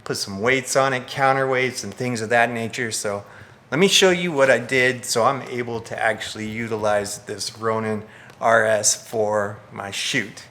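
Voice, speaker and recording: A man's voice: mid-range voice, steady tone, normal speed, sharp tone, a little hiss or hum. A man who makes videos, talking casually and explaining in vlog style. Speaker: filmmaker, video creator.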